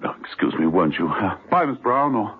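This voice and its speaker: An actor playing a suave British spy in a 1970s radio play, dry and flirtatious. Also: male voice, lowish voice, sing-song, normal speed, breathy edge, heavily acted. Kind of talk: 1970s radio drama acting. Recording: tinny lo-fi old radio broadcast.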